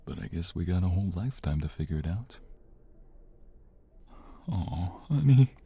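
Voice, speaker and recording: A man playing a tender, devoted mobster husband in an ASMR romance roleplay, speaking in a hushed, earnest, husky, gravelly voice. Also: low voice, expressive, heavily acted. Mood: overwhelmed, relieved, then loving, emotional. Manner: earnest, sentimental, hushed, unhurried.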